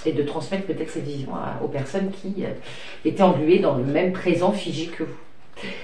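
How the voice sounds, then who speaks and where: A woman's voice: sits low, moderate pace, dark tone. A woman with a cold, her voice a little stuffy and interrupted by coughs, talks through tarot cards in a meandering, hesitant way full of fillers. She sounds affectionate as she talks to her cat and child. She is recorded from some way off in a room with noticeable echo and a faint hiss. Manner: rambling, hesitant, with many fillers. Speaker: tarot card reader. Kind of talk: casual card-reading chat. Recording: echoey room, distant mic, light noise.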